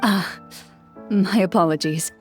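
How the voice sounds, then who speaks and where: A woman playing a composed, dutiful young female champion duelist in an anime game, measured, polite and reserved. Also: medium pitch, faint music behind, lightly acted. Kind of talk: English anime game voice acting.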